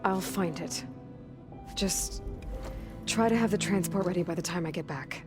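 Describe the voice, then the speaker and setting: Woman voicing a determined young explorer heroine from a game, lowish voice, purposeful and plain, music going behind her. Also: moderate pace, close to an everyday voice. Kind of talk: video game cutscene acting. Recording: loud game music underneath.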